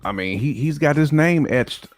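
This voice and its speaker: A man's voice: a little high, animated, moderate pace, faint music behind. A man co-hosting a casual hip hop discussion, speaking emphatically and repeating phrases to build his points. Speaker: podcast co-host.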